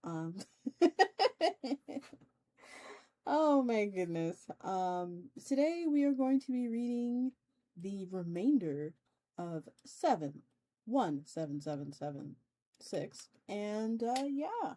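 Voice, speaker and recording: A woman hosting a livestream, chatting casually with her viewers in a playful way, with chatty asides and drawn-out emphasis. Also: medium pitch, very animated. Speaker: VTuber streamer host. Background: soft ambient music.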